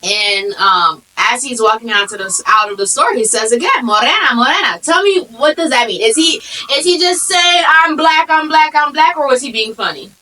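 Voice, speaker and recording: A woman's voice: sits high, normal speed, few pauses, recorded from a distance, poor audio. A young woman from the United States, a podcast co-host telling stories in casual chat and laughing often. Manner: animated storyteller, laughs often.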